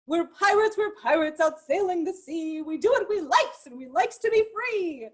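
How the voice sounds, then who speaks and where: A woman's voice: expressive, normal speed. A woman performing a scruffy, happy pirate crew's boastful sing-song shanty from a storybook. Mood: jolly boasting. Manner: sing-song boasting with a high final whoop.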